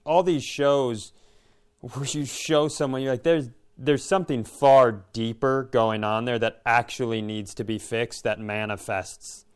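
Guy with a clean smooth voice, just chatting, kinda reflective and stop-start. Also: medium pitch, fairly even intonation, measured pace. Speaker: podcast co-host. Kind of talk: casual chat.

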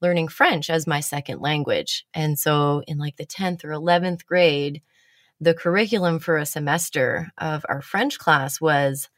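A woman's voice: sits low, no room sound, clean studio sound. A woman hosting a casual chat, telling stories about herself with blunt, self-mocking humor and the odd swear word.

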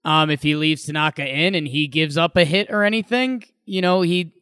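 A man's voice: on the high side, steady tone, normal speed, bright, forceful tone, clean tone, no echo, clean studio sound. An American man from Connecticut chatting casually and easily about sports as a podcast co-host. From Connecticut, United States.

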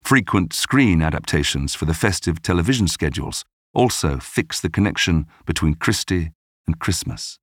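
Very deep-voiced male documentary narrator. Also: expressive, moderate pace, no room sound, clean studio sound. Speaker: podcast narrator.

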